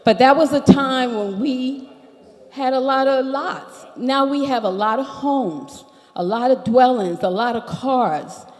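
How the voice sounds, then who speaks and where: A woman from Barbados with an American-sounding accent, formally presenting a residents' complaint at a public meeting in measured, itemised points. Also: high voice, a little mellow. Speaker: resident speaking from the floor at a public consultation. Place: large indoor meeting hall. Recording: reverberant hall public-address sound.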